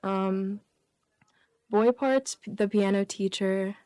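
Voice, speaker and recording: A woman's voice: mid-range voice, slow, dark tone, no echo. A woman chatting casually and informally, a bit hesitant, as a guest on a radio comedy show. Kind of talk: casual chat and joke reading. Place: small radio studio.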